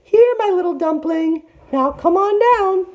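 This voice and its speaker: A woman voicing a greedy tiger posing as a sweet auntie in a children's storybook, with syrupy, coaxing, drawn-out speech. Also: very high voice, darkish tone, dull audio, voice pushed up. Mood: sugary coaxing. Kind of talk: children's storybook read-aloud.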